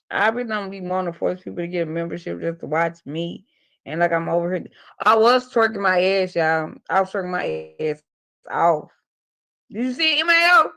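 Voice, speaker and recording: 40s woman on a live stream, raspy fry in her voice, rambling and cussing casually. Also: lowish voice, a bit bright. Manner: loose, profane, rambling storytelling. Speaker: livestream host.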